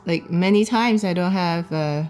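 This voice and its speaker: A woman's voice: lowish voice, measured pace, a little mellow, faint music behind. Chatty woman. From Taiwan. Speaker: interviewee. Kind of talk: documentary interview.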